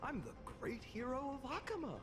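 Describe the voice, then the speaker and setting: Wildly swooping, cocky mock hero. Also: female voice, sits high, very animated. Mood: mock-heroic, taunting. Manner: grandiose, taunting, drawn-out. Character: cocky trickster mockingly posing as a great hero. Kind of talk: video game voice acting. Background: game music.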